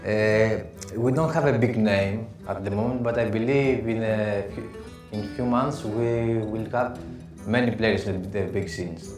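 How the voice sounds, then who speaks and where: A man's voice: on the low side, unhurried, darkish tone. A Greek man with a Greek accent, an esports player answering in a recorded interview. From Greece. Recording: very echoey room, guitar music underneath. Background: plucked guitar music.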